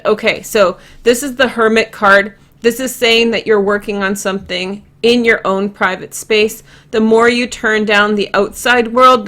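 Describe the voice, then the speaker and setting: A woman's voice: mid-range voice, a bit bright. A woman hosting a tarot reading, talking casually and informally straight to her viewers as she goes. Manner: chatty, informal, addresses viewers directly. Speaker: tarot card reader, host. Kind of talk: casual unscripted card-reading commentary to viewers.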